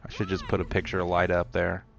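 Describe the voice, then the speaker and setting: A man's voice: low voice, completely flat delivery, fast, creaky, a bit bright, soft background music. A man giving rambling, self-deprecating commentary while streaming a video game. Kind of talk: casual gameplay commentary.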